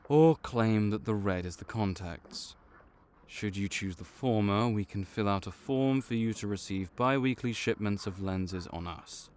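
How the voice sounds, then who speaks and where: A man voicing a calm, bureaucratic male vampire counselor who has done the job for decades, speaking in a measured, clinical way in an audio roleplay. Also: fairly low pitch, slight background noise, lightly acted. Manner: measured, clinical, patiently reassuring.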